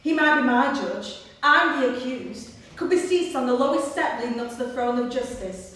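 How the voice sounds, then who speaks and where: A woman's voice: on the high side, normal speed, a bit bright, close to an everyday voice. A woman on stage playing an accused man who earnestly describes a judge's portrait. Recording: echoey hall from far off, faint hiss.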